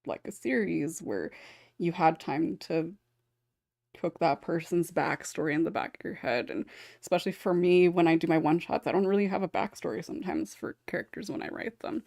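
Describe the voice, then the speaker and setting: A woman's voice: lowish voice, a little fast, creaky, darkish tone, dry recording, clean studio sound. A woman hosting a casual panel discussion, talking in a chatty, rambling way with frequent small chuckles. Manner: rambling, chatty, often chuckling as she talks. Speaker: podcast host and script writer.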